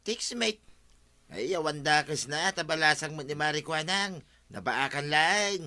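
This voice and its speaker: Nosy village man in radio comedy, very sharp forceful voice, a bit high. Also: expressive, measured pace, exaggerated voice. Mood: brash, inquisitive. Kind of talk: Ilocano radio comedy drama acting.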